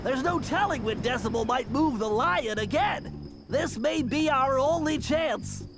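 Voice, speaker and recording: A man's voice: extremely high pitch, lively intonation, a little slow, over music, full character voice. A man voicing a brainy, cautious young turtle gadget whiz in a video game, nasal, precise and a little fussy. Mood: earnest planning. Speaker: mission planner. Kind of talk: animated video game voice acting.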